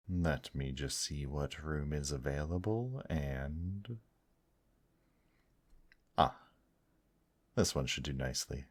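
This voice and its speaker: An extremely deep-voiced demon host, acted by a man, speaks slowly and intimately, as in an ASMR roleplay. He is suave and courtly, gently teasing the listener with old-fashioned pet names. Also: exaggerated voice. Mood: flustered, then composed.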